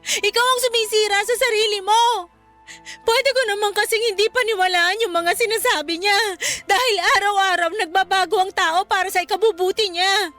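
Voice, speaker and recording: A woman's voice: very high-pitched, steady tone, normal speed, fairly bright tone. A woman playing an angry, reproachful young Filipino wife and mother in a radio drama, scolding bluntly and insistently. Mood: hurt, reproachful. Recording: music bed behind the voice.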